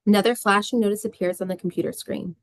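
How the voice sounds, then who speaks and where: A woman's voice: mid-range voice, steady tone, fast, no echo. A woman reading scripted stage directions as a narrator.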